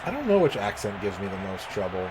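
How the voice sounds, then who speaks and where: Low-voiced, rambling male streamer. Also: huge pitch swings, fast. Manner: rambling, talks to chat while playing. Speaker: game streamer. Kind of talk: casual livestream chat. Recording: loud background noise.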